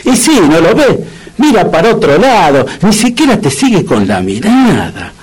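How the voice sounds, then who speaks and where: An actor playing a lonely, melodramatic, grumbling man in a comedic radio play, with a husky Buenos Aires accent and slangy complaints. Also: high voice, wildly swooping pitch, normal speed, exaggerated voice, rough recording. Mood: gloomy complaining. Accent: Buenos Aires Argentine. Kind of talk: comedic radio drama acting.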